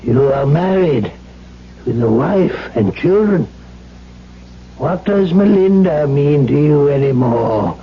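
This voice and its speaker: An old alchemist, bitter and suspicious, with a slightly high voice and slow, halting, archaic delivery. It is a radio play on a dull old recording. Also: male voice, lively intonation, slight background noise. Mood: wary, brusque. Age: older. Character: wary, bitter old reclusive alchemist, grieving father. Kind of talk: 1970s radio drama acting.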